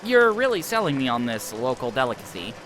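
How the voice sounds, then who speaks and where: A man's voice: mid-range voice, big pitch swings, fairly quick, noisy background. A man streaming a game, reading its dialogue aloud in a wry, playful way.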